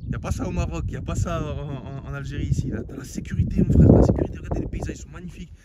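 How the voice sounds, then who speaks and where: A man from Algeria vlogging casually on a road trip, slangy and excitable, talking straight to his viewers on a handheld camera. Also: fairly high pitch, racing. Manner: slangy, excitable, talks to viewers as "les gars". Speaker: travel vlogger. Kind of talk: casual travel vlog to camera. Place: outdoors and indoors on a road trip, hotel villa and restaurant. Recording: loud background noise. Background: loud ambient noise and vehicles.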